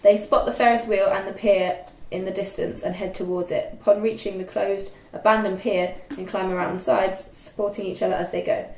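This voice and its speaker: Young woman, script narrator, halting delivery, mid pitch, roomy echo. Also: fairly even intonation, a little mellow. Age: young adult. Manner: halting, stumbles over the text. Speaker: narrator of screen directions. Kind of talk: scripted narration at a table read. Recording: echoey room.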